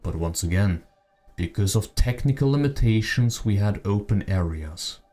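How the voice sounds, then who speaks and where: A man's voice: on the low side, lively intonation, normal speed, mellow. A man hosting a video essay about games, giving opinionated, speculative commentary in a conversational way. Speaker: video creator, channel host. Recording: music behind the voice. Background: background music.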